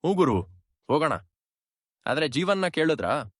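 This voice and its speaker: A man's voice: fairly high pitch, big pitch swings, normal speed, a bit bright, dry recording, clean studio sound. A man voicing a casual, hesitant young friend in a cartoon story, loyal but easily swayed. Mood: doubtful concern. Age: young adult. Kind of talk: cartoon short story dialogue.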